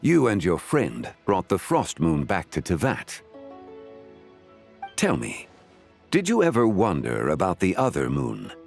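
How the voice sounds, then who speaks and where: A man's voice: low voice, wildly swooping pitch, unhurried, gravelly, long pauses, darkish tone, faint music behind, full character voice. An actor playing a composed, erudite, enigmatic man in a fantasy video game, speaking formally and eloquently. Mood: composed, knowing. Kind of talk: video game character dialogue.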